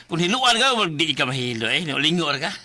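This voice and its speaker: A man's voice: on the high side, big pitch swings, brisk, sharp tone, heavily acted. An actor playing a cheeky young drinking pal who eggs his friend on in a radio drama. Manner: cheeky, egging on.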